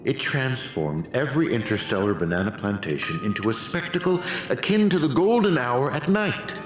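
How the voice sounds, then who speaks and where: A man's voice: mid-range voice, expressive, normal speed, reverberant, music in the background, natural voice. An actor playing a villainous research aide in a video game, a pompous man who lectures theatrically. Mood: lecturing.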